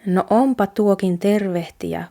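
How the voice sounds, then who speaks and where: Woman reading gloomy young man, mellow tone. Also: medium pitch, vocal fry, close to an everyday voice. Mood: glum. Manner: downcast, hesitant.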